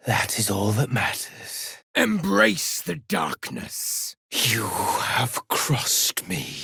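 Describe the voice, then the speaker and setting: Slow, gravelly, brooding avenger. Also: male voice, medium pitch, pushed and bright, dry recording, studio quality, exaggerated voice. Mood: cold, accusing, then grim, commanding. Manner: grave, measured, theatrical formal diction. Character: mysterious, brooding male avenger who hunts and torments murderers. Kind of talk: dramatic video game voice acting.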